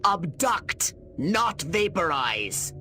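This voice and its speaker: An actor voicing a haughty, theatrical alien commander in a video game, lecturing like a scheming imperial mastermind. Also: male voice, high voice, sing-song, very slow, sharp tone, faint music behind, heavily acted. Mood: bossy, impatient. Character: scheming, pompous, exasperated alien commander of a doomed empire. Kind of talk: video game cutscene voice acting.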